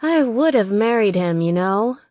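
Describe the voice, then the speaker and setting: A tipsy young woman nursing heartbreak, acted by a female narrator. She is plaintive and sighing, pitched up and swooping with self-pity. The recording is dry and a little dull, in audiobook style. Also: expressive, a little slow, no room sound, lightly acted. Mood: glum. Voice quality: drunken, hiccupy delivery. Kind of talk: audiobook character dialogue.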